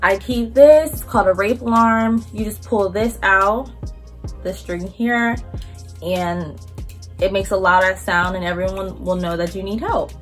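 A young woman talks straight to camera. Her chat is loose, slangy and blunt, with swearing. Her voice sits at a middling pitch, with faint music underneath. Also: a little slow. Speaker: vlogger.

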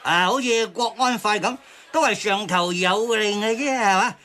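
An actor in a radio drama playing an excitable, agreeable man with a Hong Kong Cantonese accent. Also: high-pitched, moderate pace.

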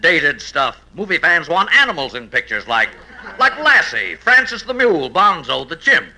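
Casting: glib studio boss, dismissive, animated, sharp bright voice, vintage radio comedy. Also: male voice, a little high, moderate pace, exaggerated voice, poor audio. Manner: glib, patronizing. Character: brisk, dismissive Hollywood studio producer. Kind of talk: 1950s radio comedy acting.

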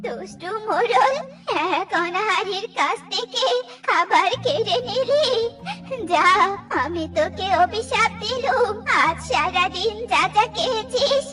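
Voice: very high-pitched, animated, slow pace, over loud music, heavily acted. A performer voicing an indignant female animal villager in a cartoon, scolding and exclamatory. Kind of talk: cartoon voice acting.

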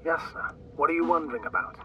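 A man's voice: a little high, fast, recorded from a distance, dull audio, heavy background noise. A man playing an authoritative, impatient space mission commander in a video game, formal and commanding, heard over radio. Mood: stern, measured. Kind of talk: dramatic video game acting.

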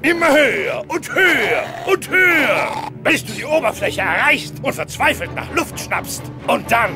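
A man playing a blustering old cartoon crab boss, gravelly and theatrically ominous, like a storytelling seafarer. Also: very high-pitched, sing-song, moderate pace, over music, heavily acted. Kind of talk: cartoon audio play acting.

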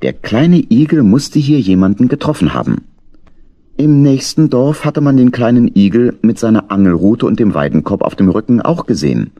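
A man's voice: mid-range voice, sing-song, normal speed, mellow, no echo, highs cut off. A man narrating a children's story in an audio drama as a scripted storyteller.

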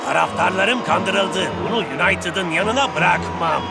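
A man voicing a vain, melodramatic star striker in an animated cartoon dub, with a theatrical, boastful delivery. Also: high voice, a little slow, creaky, heavy background noise. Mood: indignant. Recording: loud music behind the voice.

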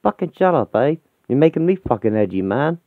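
A man's voice: medium pitch, sing-song, a little fast, no room sound, voice pushed up, natural voice. A man voicing a cocky, foul-mouthed young lad. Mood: cocky, irritable. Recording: tinny phone-like sound.

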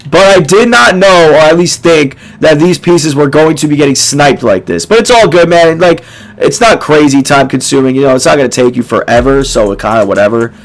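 A young man in his twenties with a hoarse, nearly gone voice, casually commenting on a game to his viewers in a small dark room. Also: on the high side. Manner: laid-back, filler-heavy, addresses viewers as boys. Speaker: gaming YouTuber.

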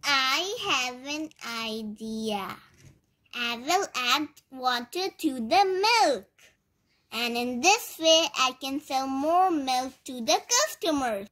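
Greedy chatty milkman guy, woman doing it, squeaky high and bright, slow. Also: expressive, heavily acted. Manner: chatty, talking to himself. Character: greedy young male milkman, cheerful then sorry.

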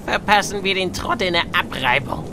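A man's voice: sits high, animated, normal speed, creaky, noisy background. A man voicing a cruel, mocking alien gang thug who taunts with sadistic relish in an audio drama. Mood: menacing. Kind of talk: audio drama character acting. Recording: music under the voice.

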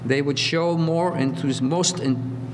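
Echoey hall with loud hum, man in his seventies, measured halting delivery, prepared talk. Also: fairly high pitch, fairly even intonation. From Central Europe, later Chile. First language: Hungarian. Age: 70s. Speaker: panel speaker at a literary conference. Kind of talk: prepared autobiographical talk with asides. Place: college hall, at a panel table. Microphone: table microphone on a stand.

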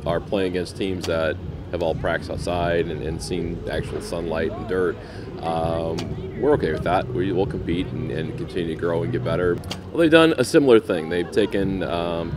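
A middle-aged American man speaking as a head coach in an interview. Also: deep voice. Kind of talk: post-game interview. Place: large indoor practice facility. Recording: clear background noise in large hall.

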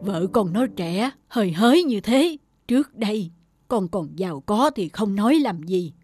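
A woman's voice: medium pitch, lively intonation, a little slow, warm tone, soft background music, lightly acted. A woman acting a fretful, nagging old mother. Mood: suspicious. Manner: fretful, reproachful. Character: nagging, worried elderly mother, suspicious of her daughter-in-law. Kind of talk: animated moral drama voice acting.

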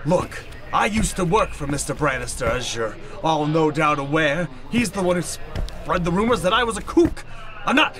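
A man's voice: on the high side, fairly bright tone. A man playing a blustering, eccentric older professor in an improvised radio comedy, theatrical, pompous and scolding. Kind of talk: improvised old-time radio comedy acting.

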